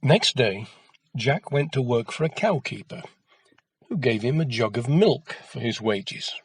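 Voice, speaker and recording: Male folktale narrator for children: measured, expressive, husky, recorded close and dry. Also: mid-range voice, no echo. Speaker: storyteller.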